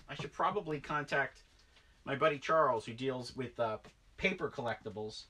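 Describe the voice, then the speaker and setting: A man's voice: a little high, sharp tone, a little hiss or hum. A man in his forties rambles chattily through a tub of old collectibles in his basement, often correcting himself. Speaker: toy collector vlogger. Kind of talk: casual unboxing chat. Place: basement toy room, indoors.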